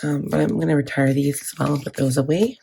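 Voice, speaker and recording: A woman's voice: deep voice, little pitch movement, fast, creaky, mellow. A woman with a scratchy voice chatting casually to her channel, with frequent fillers and restarts. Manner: chatty, filler-heavy, self-correcting. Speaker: planner vlogger. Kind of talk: casual chat.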